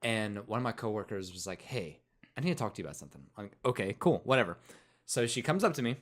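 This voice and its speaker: A man's voice: fairly low pitch, lively intonation, fast, a bit bright. A man, co-hosting a casual podcast and telling a loose story while laughing often. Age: 20s. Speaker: podcast co-host.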